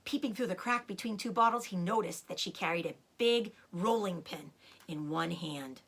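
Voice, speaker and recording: A woman reading a children's book aloud in an expressive, dramatic way, with short asides about the pictures. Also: lowish voice, normal speed. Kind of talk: animated storybook read-aloud with picture asides.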